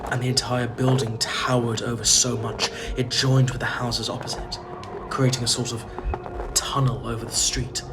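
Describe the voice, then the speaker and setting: A man's voice: mid-range voice, lightly acted. An actor playing a polite, uneasy traveller in an audio drama, earnest and hesitant in his delivery. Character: uneasy, polite male traveller narrating his strange homecoming. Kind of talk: audio drama narration and dialogue. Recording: clearly noisy ambience behind. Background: ambience noise.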